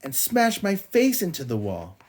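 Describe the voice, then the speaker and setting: A man's voice: fairly high pitch, wildly swooping pitch, a little slow, darkish tone, voice pushed up, exaggerated voice. A man voicing a grumpy, cheeky young girl bear cub with whiny, childlike protests in a storybook.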